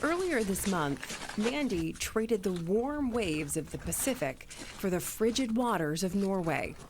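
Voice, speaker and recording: A woman's voice: mid-range voice, expressive, background noise. Woman reporter narrating, ambience behind. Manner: measured, polished delivery. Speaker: TV news reporter. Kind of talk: scripted news narration. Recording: narration with clear background ambience.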